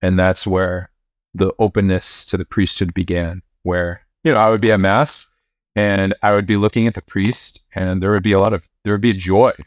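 A young man, a priest, telling his story in a relaxed interview, with fillers and restarts. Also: low-pitched, normal speed, dry recording. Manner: relaxed, many fillers and restarts, occasional laughs. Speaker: priest and university chaplain.

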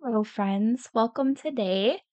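A woman's voice: on the high side, measured pace, darkish tone. A woman in her thirties hosting a podcast, tired and a little scratchy, talking sincerely and encouragingly straight to her listeners. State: tired from lack of sleep. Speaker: podcast host. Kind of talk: podcast monologue.

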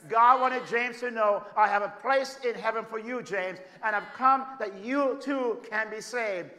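A man with a Jamaican accent preaching a sermon, moving between loud, emphatic declarations and soft, hushed storytelling. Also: sits high, unhurried. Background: congregation calling out amens.

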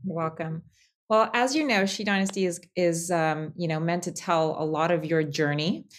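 A woman's voice: lowish voice, normal speed. Appreciative woman podcast host. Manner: appreciative, hesitant with restarts. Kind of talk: interview questions.

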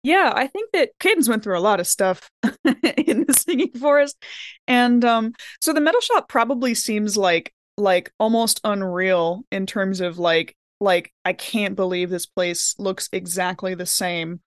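A woman's voice: mid-range voice, animated, unhurried, no room sound, clean studio sound. A chatty, giggly woman improvising casually as a player in a tabletop roleplaying game.

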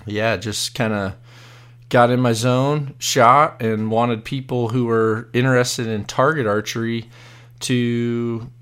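A hoarse man hosting a live podcast, chatting casually and rambling with many fillers and restarts. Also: mid-range voice, fairly even intonation, slow, fairly bright tone. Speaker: podcast host.

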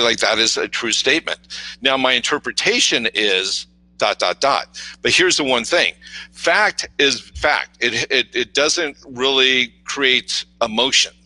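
Authoritative man, very bright forceful voice. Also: medium pitch, no echo, low-quality recording. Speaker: podcast guest on mindset and communication. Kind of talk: conversational explanatory talk.

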